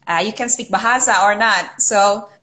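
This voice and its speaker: A woman's voice: mid-range voice, moderate pace, sharp tone. A woman hosting a casual live-stream interview over a video call, hesitant, with many fillers and repeated words. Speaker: interview host.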